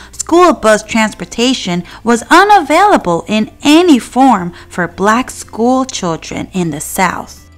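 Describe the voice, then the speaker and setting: A woman reads a children's picture book aloud in a fairly high voice that swings widely in pitch. She takes her time with each sentence, speaking expressively and deliberately, with soft music underneath. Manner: expressive, deliberate storytelling delivery. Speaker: children's read-aloud narrator. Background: faint music.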